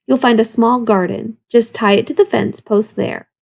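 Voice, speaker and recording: A woman's voice: a little high, fairly even intonation, moderate pace, creaky. A woman voicing a sly, grumbling old male wolf in a children's storybook read-aloud. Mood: sly, wheedling. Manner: growling, wheedling, grumpy.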